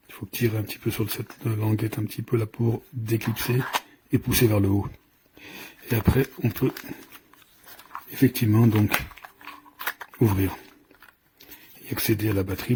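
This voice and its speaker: A man's voice: lowish voice, flat delivery, normal speed, long pauses, background noise. A man giving an informal hands-on product demonstration, explaining in a hesitant, improvised way as he goes. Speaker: product reviewer.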